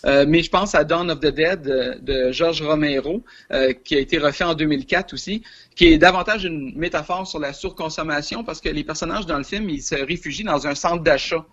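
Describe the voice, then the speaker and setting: A man's voice: on the high side, a little fast, a bit bright. Quebec man, dull lo-fi line. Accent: Quebec French. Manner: hesitant, many filler sounds, chuckles. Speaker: film columnist. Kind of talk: casual radio chat.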